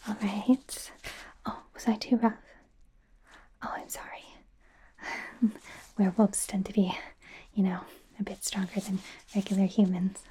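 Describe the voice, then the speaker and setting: A woman playing a nervous, friendly female werewolf doctor in a whispered ASMR roleplay, hesitant, stammering and apologetic. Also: medium pitch. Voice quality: soft, breathy whisper.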